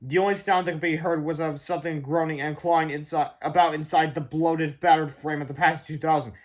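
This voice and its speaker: A man reads a children's book aloud. His voice is clearly high for a man, and the delivery is scripted storybook narration. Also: fairly even intonation, brisk. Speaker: storybook reader.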